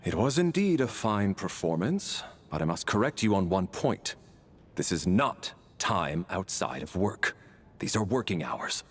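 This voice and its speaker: A man playing a strict, dutiful military sergeant in a video game, formal and deadpan. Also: deep voice, measured pace, faint music behind, exaggerated voice. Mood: dry, stern.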